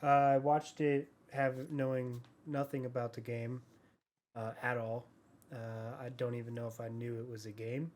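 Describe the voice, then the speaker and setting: A man co-hosting a podcast, giving his views in a casual, understated and halting way. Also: medium pitch, little pitch movement, darkish tone. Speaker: podcast co-host.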